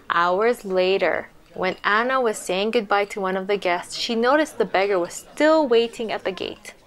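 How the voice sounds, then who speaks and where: A woman reading a storybook aloud to children in an expressive, explanatory manner. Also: mid-range voice.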